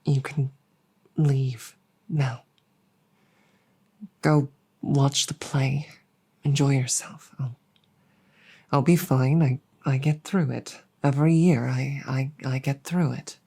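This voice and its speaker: A woman voicing a proud but embarrassed female dragon, tender toward a human partner, in an intimate roleplay audio drama, speaking formally and haltingly with a breathy voice. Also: extremely low pitch, steady tone, mellow, full character voice. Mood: apologetic, dejected. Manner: formal, flustered, halting with repeated words.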